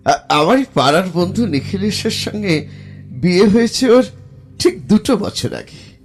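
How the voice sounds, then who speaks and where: A man playing a haunted, distressed narrator of a first-person horror audio drama, halting and repeating his phrases. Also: high voice, big pitch swings, soft background music, exaggerated voice. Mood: uneasy, recollecting.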